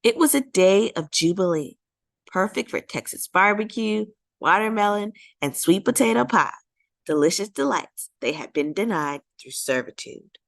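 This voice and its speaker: A woman's voice: mid-range voice, animated, normal speed, vocal fry, dry recording. A woman narrating a children's storybook in a dramatic, emphatic read-aloud style, drawing out key words.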